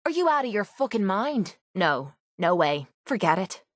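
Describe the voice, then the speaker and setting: Woman acting as a tough young Irish brawler, female game companion, blunt, rough-edged, guarded yet loyal, dry close recording. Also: mid-range voice, very animated, normal speed, no room sound, lightly acted. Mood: angry, blunt. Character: tough, foul-mouthed young woman pit fighter, guarded but loyal. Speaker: voice actress in a video game. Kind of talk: video game companion dialogue.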